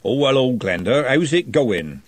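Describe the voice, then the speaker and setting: A man's voice: mid-range voice, sing-song, a little slow, no echo. A man voicing a mild, friendly male dwarf craftsman in a storybook reading.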